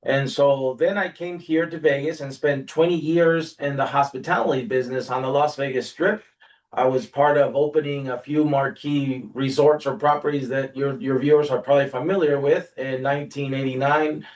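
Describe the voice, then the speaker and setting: Guy, 60s, mid-pitch voice, relaxed rambling story with lots of uh's, sounds a little far from the mic. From Peru. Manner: relaxed storytelling with frequent "uh" pauses. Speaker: guest, workforce agency director. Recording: voice slightly distant from mic.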